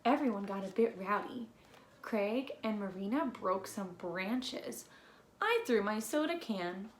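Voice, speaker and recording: A woman reading a children's storybook aloud expressively, with deliberate phrasing and playful emphasis. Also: mid-range voice, animated. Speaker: read-aloud presenter. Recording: echoey room with faint noise.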